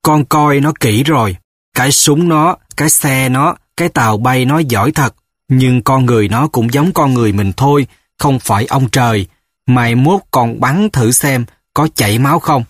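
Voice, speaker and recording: A man's voice: mid-range voice, normal speed, dry recording, clean studio sound, close to an everyday voice. A man voicing a stubborn, determined young village man who speaks firmly and insistently. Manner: firm, insistent.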